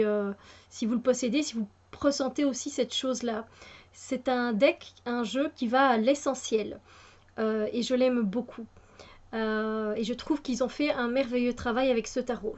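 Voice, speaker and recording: A woman with a fairly high voice talks through a tarot deck in an easy, unscripted way. She hesitates often, restarting phrases and filling gaps, but sounds keen on the subject. The recording is muffled and lo-fi. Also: darkish tone. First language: French. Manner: hesitant, many fillers and restarts, enthusiastic. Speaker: tarot reviewer. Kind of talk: casual spoken product review.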